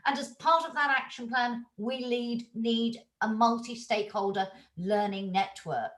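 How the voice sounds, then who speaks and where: A woman moderating a conference panel, fluent and smooth as she introduces the speakers one by one. Her voice sits a little high. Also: unhurried, fairly bright tone.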